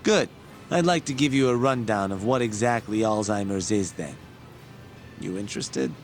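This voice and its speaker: An actor playing a patient, earnest medical expert who explains things in a measured, articulate, didactic way in a video game. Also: male voice, fairly low pitch, natural voice. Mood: pleased, instructive. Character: learned male medical expert, patient, earnest, wryly teasing lecturer. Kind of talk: English video game dub, explanatory dialogue. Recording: background music under the voice.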